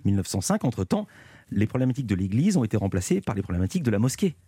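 Brisk, husky male radio host. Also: on the low side, very animated, warm tone, no echo, clean studio sound. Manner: brisk, pressing questions. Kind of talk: live radio interview on a media programme. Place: radio studio.

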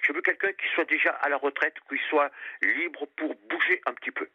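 A man's voice: a little high, husky, no room sound. Older male caller on a muffled phone line. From France, Périgord, grew up on Réunion. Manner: earnest, halting, repeats words. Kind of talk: phone-in radio call.

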